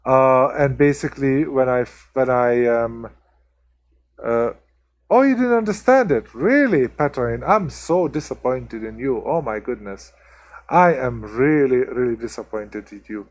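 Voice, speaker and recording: A man's voice: medium pitch, lively intonation, measured pace. A man from Hungary with a strong Hungarian accent, chatting casually with viewers as the host of a live stream, full of ums and restarts. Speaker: livestream host.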